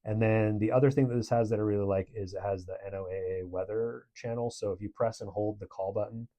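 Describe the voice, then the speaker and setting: A man's voice: fairly low pitch, steady tone, fast talker, warm tone. A man giving a casual product review in a conversational, approving way.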